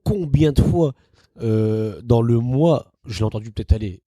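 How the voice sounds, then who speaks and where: Animated young man, mellow voice. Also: medium pitch, normal speed, no echo, studio quality. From northern France. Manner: animated, acts out quotes in shifted voices. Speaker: comedian guest. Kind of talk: casual podcast chat.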